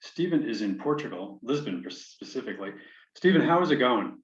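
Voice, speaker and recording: A man's voice: medium pitch, animated, fairly quick. Male podcast host, friendly, restarts questions; dull, roomy remote recording. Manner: friendly, stammers on openings. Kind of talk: interview hosting.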